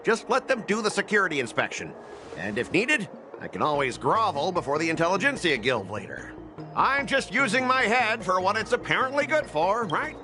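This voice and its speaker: A man's voice: sits high, sing-song, normal speed, music in the background. A man playing an irritable, blustering middle-aged corporate cargo trader in a video game, exasperated and sarcastic in a theatrical way. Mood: resigned.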